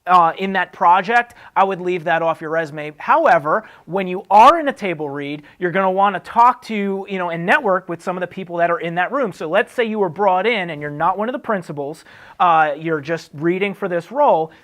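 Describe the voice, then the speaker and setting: A man's voice: sits high, fairly quick, a bit bright. A man hosting an advice show, explaining things in a casual, conversational way. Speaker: host answering acting career questions. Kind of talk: casual advice talk to camera.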